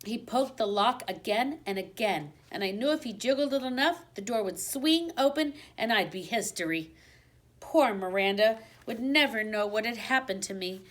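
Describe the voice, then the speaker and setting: Woman reading a children's story expressively. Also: mid-range voice. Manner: dramatic emphasis on exciting moments. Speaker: teacher reading a children's book aloud.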